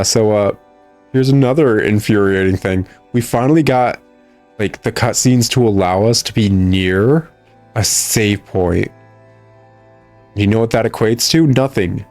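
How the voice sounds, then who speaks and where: Sarcastic, low-voiced man commentating a game. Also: a little mellow. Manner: sarcastic, exasperated. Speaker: gamer commentating a playthrough. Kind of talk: mocking gameplay commentary, reading game text aloud.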